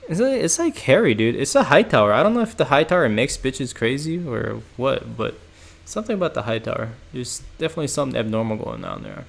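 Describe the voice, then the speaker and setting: Young guy rambling on stream, gravelly fry in his voice, says like and bro a ton. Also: medium pitch, sing-song, fast talker, few pauses. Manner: rambling, lots of "like" and "bro", laughs often. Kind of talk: casual livestream chat reacting to chat.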